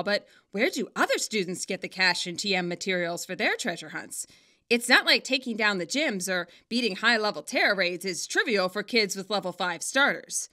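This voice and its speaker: A woman's voice: mid-range voice, bright, forceful tone. A woman giving scripted narration, moving between playful, wry commentary and storytelling. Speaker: fan fiction author and video narrator.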